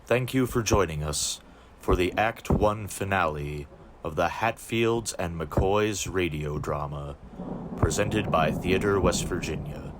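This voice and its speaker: Slow, low-voiced male announcer. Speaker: announcer reading credits. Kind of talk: scripted announcement. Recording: clear background noise with clicks.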